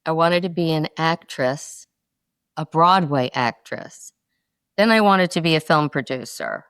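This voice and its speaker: A middle-aged woman from North Carolina, a podcast guest answering interview questions in quick, expressive stories. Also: deep voice, clear, resonant tone, no room sound.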